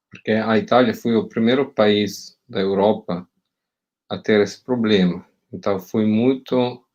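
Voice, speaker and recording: A middle-aged Italian man talks through a video call, his Italian accent clear. His voice sits low and a little husky. He speaks haltingly, often pausing on fillers and restarting his sentences. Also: darkish tone, lo-fi sound. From Italy. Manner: hesitant, many fillers and restarts. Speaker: guest professor. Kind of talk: academic online talk.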